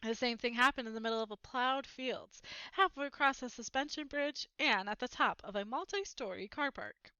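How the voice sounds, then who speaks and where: A woman reading a children's book aloud as an expressive storyteller. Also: a little high, normal speed, smooth, dry recording, muffled recording.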